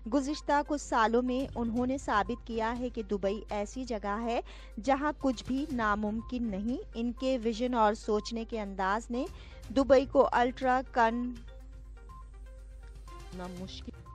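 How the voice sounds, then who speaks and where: A woman's voice: fairly high pitch, unhurried, faint music behind. A woman presenting a scripted news bulletin in a formal, declarative newsreading manner. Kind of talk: scripted news bulletin narration in Urdu register.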